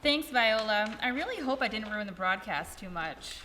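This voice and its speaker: A woman's voice: mid-range voice, animated, normal speed, pushed and bright, slight background noise. A woman acting a spirited, eager heroine in a live comic radio play. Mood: excitable. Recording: echoey hall, long reverb.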